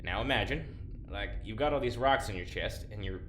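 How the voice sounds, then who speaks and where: A weary, wry patient talks haltingly in a somewhat low voice. It is naturalistic audio drama, with a clear room echo and faint background noise. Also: male voice, expressive, fairly bright tone. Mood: wry, explaining.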